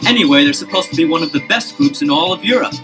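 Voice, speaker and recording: An eager young amateur detective, played by an actor in an old record-album drama. His voice is slightly high and very animated as he jumps on clues. Clear music plays behind him. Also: close to an everyday voice. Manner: eager and quick to suspect.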